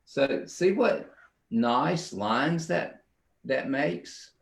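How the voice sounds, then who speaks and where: A man's voice: mid-range voice, lively intonation, extremely slow, creaky. A middle-aged man giving a casual, rambling drawing demonstration for children, often checking in with the viewer.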